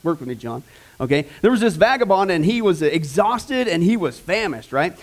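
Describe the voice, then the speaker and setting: A man's voice: high-pitched, big pitch swings, brisk. A man preaching a sermon in a church sanctuary, telling a joke and making folksy asides to the congregation. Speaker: pastor.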